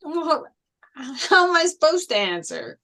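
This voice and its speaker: A woman's voice: high-pitched, big pitch swings, measured pace, creaky, sharp tone, exaggerated voice. A woman reading an earnest, probing teenage jock boy in a casual, questioning way at a table read. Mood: puzzled, playful. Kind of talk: table read of a film script.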